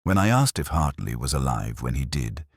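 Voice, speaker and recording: Very deep, brooding male detective narrator. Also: big pitch swings, husky, no room sound, studio quality. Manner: measured, literary, brooding delivery. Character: melancholy, cerebral older male police inspector. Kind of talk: first-person detective audio drama narration.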